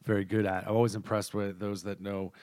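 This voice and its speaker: A man's voice: lowish voice, little pitch movement, brisk, a little mellow, no room sound, clean studio sound. A man hosting a podcast interview in a casual, talkative way, often rephrasing himself as he goes. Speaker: podcast host.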